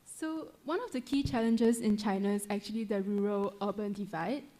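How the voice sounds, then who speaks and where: A woman's voice: medium pitch, normal speed, warm tone, low-quality recording. A woman from the audience politely introducing herself and asking a lecturer a question. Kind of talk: question from the floor.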